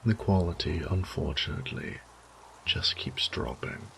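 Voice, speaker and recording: Man acting a deep, gravelly, unhurried vampire lord. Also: fairly bright tone, full character voice. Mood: cold, savoring. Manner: theatrical, taunting, drawn-out phrasing with breathy whispers. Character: sadistic, condescending male vampire lord. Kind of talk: scripted roleplay audio drama monologue.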